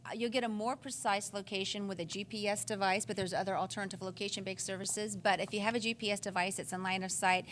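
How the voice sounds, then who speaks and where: A woman's voice: mid-range voice, steady tone, fast, few pauses, a bit bright, slight background noise. A woman working as a product representative, explaining features in a live TV interview.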